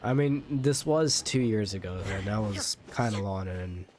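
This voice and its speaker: A man livestreaming a game, chatting casually and unscripted in a rambling, halting way. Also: on the low side, darkish tone, a little hiss or hum. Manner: rambling, repeats words haltingly. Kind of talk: casual unscripted gameplay chat.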